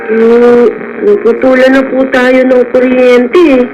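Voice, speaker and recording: A devoted young Filipino daughter in a radio drama. She is very high-pitched, earnest and pleading. Loud noise runs through the broadcast recording. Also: little pitch movement, slow pace. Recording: noisy, hazy radio broadcast.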